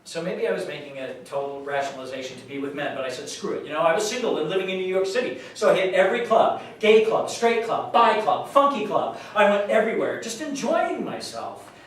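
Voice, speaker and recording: A man's voice: high-pitched, sing-song, brisk, hardly any pauses. Echoey, distant man acting an earnest youth worker. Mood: confessional. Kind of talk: staged reading monologue. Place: live staged reading in a large room. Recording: very echoey hall, distant mic, faint noise.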